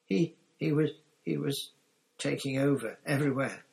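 A man voicing a cowardly, grovelling traitor in a storybook, stammering and whining as he begs with a rasping, gasping voice. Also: mid-range voice, normal speed, a little mellow, voice pushed up, lightly acted. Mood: whining fear. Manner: stammering, repeating pleas.

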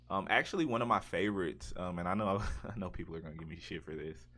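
A man's voice: low voice, fairly quick, pushed and bright. A man co-hosting a podcast, chatting in a joking, informal, slangy way. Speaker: podcast co-host. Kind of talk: casual chat about video game movies.

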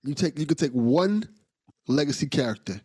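A man's voice: fairly high pitch, normal speed, husky, no echo. Enthusiastic man hosting a podcast. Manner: enthusiastic, relaxed, repeats words while thinking. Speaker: podcast host. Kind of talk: casual podcast interview.

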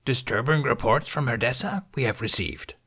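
A man voicing a stern, ancient little alien master who speaks in inverted word order with an altered, croaky tone in an audiobook reading. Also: medium pitch, moderate pace, sharp tone. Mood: severe. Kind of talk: audiobook reading of fan fiction.

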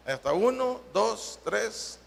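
Slow, gravelly Salvadoran preacher in a hall. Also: male voice, high-pitched, big pitch swings. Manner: slow, emphatic preaching that stretches key words and questions the congregation. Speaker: pastor. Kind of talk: sermon. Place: church hall. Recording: long church-hall reverb.

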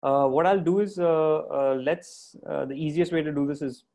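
A man hosting a live online group discussion over a video call, talking haltingly with many fillers and restarts. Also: a little high, fairly even intonation, warm tone. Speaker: host.

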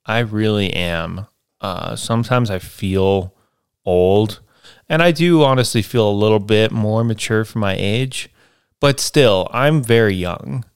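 A man's voice: lowish voice, unhurried, smooth, dry recording, studio quality. A man in his twenties hosting a podcast, talking through his life plans in a loose, rambling way with many fillers and tag questions. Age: 20s. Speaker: podcast host. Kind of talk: casual solo podcast monologue.

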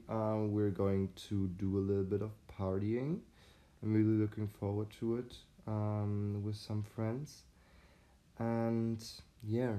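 Male podcast host, low warm tone, rambling delivery full of fillers. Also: reverberant. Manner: rambling, many fillers and restarts. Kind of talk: casual conversational podcast.

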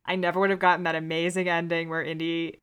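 A woman's voice: lowish voice, flat delivery, quick pace, a bit bright, clear, resonant tone. Casual, irreverent woman chatting. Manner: irreverent, sweary, playful. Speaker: podcast co-host. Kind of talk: casual chat.

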